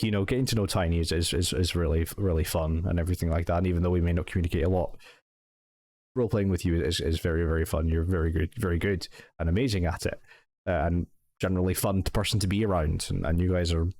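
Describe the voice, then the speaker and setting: A man's voice: sits low, a little mellow. A young Scottish man talking hesitantly and sincerely in a personal vlog update, full of restarts and thanks. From Scotland. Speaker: channel owner and roleplay group member.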